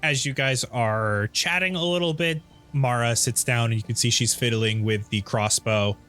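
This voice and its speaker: Man narrating as game master, mid-pitched voice. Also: normal speed, fairly bright tone, soft background music. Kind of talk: narrating a tabletop roleplaying game.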